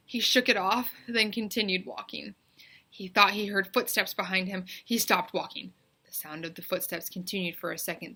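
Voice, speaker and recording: A woman narrates a children's story like a teacher reading aloud. Her voice sits a little low but sounds bright and forceful. The delivery is expressive, deliberate and suspenseful. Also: slightly rough.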